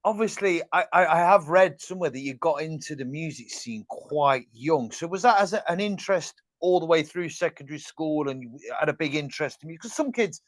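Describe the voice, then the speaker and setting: A podcast host talks in a fairly high voice, recorded close and dry. He is chatty and self-mocking and stumbles through fillers as he asks questions. He is heard over a video call. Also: animated, fairly quick, hardly any pauses, no room sound. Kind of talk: casual podcast interview.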